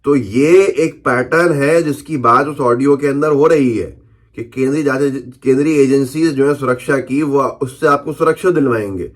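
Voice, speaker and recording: A man's voice: mid-range voice, moderate pace. A man presenting news commentary in an emphatic, questioning way, speaking off the cuff and repeating phrases for stress. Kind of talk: unscripted political news commentary, reading out newspaper headlines.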